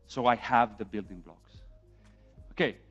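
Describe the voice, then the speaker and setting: A middle-aged man with a Serbian accent lecturing a class on film sound, animated, acting out quotes from set, and checking in with "yeah?". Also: medium pitch, a little slow, husky, highs cut off, soft background music.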